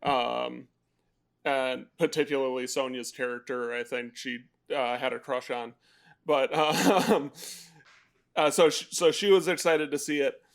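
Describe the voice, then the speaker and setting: A man co-hosting a film podcast, talking casually in a rambling way with pauses and restarts. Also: medium pitch, steady tone, vocal fry. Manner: rambling, many fillers and restarts. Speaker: podcast co-host. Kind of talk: casual chat.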